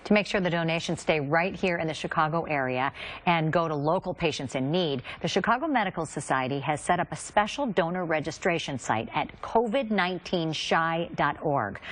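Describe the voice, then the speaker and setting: A woman with an American accent reading scripted broadcast news as a medical reporter. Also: lowish voice.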